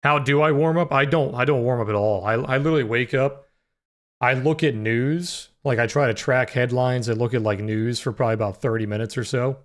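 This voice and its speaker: A young man with a naturally deep voice that sometimes cracks high, hosting a trading livestream in relaxed, filler-heavy chat. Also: fairly quick. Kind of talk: casual live-stream chat with chat readers.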